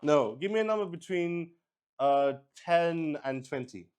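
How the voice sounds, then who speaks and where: A man hosting a solo tabletop roleplaying game in casual, halting live-stream chat, thinking aloud and repeating words. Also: fairly high pitch, animated, a little mellow, smooth. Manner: swears casually.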